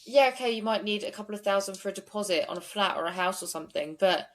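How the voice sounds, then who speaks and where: A woman's voice: medium pitch, fast. A young woman co-hosting a true-crime podcast, chatty and giggly, with lots of "like". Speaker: podcast co-host. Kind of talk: casual true-crime chat.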